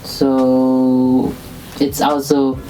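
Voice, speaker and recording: A woman's voice: extremely low pitch, monotone, very slow, dark tone, recorded from a distance, slight background noise, poor audio. A woman interviewing a guest, asking long questions with many hesitations. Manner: hesitant, many fillers and restarts.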